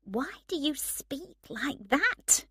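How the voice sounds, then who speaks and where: Narrator voicing a frank young woman, raised pitch. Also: very animated, unhurried, a bit bright, dry recording, clean studio sound, heavily acted. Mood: reproving. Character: frank young woman, unimpressed and reproving with her friend.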